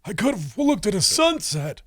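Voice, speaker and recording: A man's voice: high-pitched, sing-song, moderate pace, fairly bright tone. A man chatting casually as a podcast guest, with wry, teasing humor.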